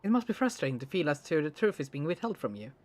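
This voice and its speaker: A streamer reading the lines of a polite, awkward male alien who speaks in formal, halting phrases. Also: medium pitch, big pitch swings, darkish tone. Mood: courteous. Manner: stiff, overly formal phrasing with hesitant restarts. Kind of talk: reading game dialogue aloud during a let's play.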